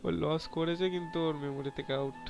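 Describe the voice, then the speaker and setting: A man commenting casually while streaming a game. He talks extremely fast in a slightly high voice, with faint music behind him. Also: steady tone. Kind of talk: casual live commentary mixing Bengali and English.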